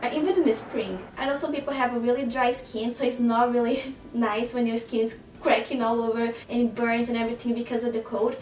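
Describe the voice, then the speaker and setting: A woman's voice: on the high side, steady tone, a little fast, few pauses, a little mellow, a little hiss or hum. Brazilian lady vlogging, chatty and giggly, echoey room, mic kinda far off. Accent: Brazilian Portuguese. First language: Portuguese. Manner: chatty, laughs often, stresses words by repeating them. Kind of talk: casual to-camera vlog. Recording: roomy, slightly distant camera audio.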